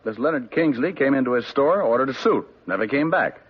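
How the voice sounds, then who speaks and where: A man's voice: medium pitch, expressive, fairly quick, breathy edge. A man playing a sardonic private detective who narrates and trades quips, in a 1950s radio drama. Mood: dry. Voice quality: sardonic, clipped delivery. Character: wisecracking male private eye, dry and sardonic. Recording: dull lo-fi old radio broadcast with music.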